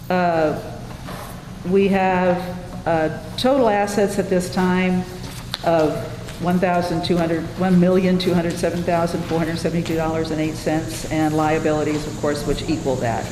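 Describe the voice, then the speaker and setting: A plain-spoken, folksy woman chairing a board meeting informally and reading out figures, self-deprecating as she goes. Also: lowish voice. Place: indoor public meeting room. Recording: echoey hall, loud room noise.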